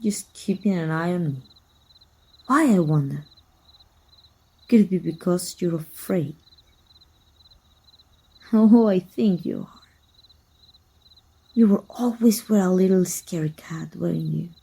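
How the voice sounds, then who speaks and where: A woman playing a teasing, wistful and flirty female vampire hunter with a breathy, husky voice in an intimate ASMR roleplay. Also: on the low side, exaggerated voice. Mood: taunting, flirty. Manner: slow, teasing, softly taunting.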